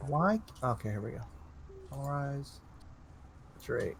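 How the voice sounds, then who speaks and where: A man's voice: medium pitch, animated, moderate pace, creaky, background noise. A man chatting casually while he draws and muttering to himself about his work. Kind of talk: casual chat while drawing.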